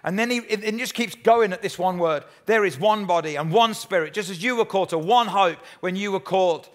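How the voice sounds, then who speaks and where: A man's voice: high voice, brisk. A pastor preaching a sermon in an emphatic way, repeating his key lines.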